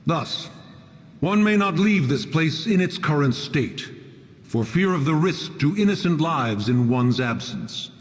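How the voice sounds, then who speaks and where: A man's voice: a little high, animated, measured pace. A man playing an ancient, stern and haughty immortal mountain guardian in a fantasy video game, speaking in formal, archaic phrases. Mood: formal, measured. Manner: formal, archaic, lofty, calls self "one". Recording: long reverb, music behind.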